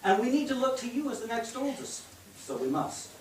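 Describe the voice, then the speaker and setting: A man's voice: very high voice, very animated, brisk. A man playing a proud, eager immigrant father in a staged play reading. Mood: earnest. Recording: echoey stage, distant mic, background hiss.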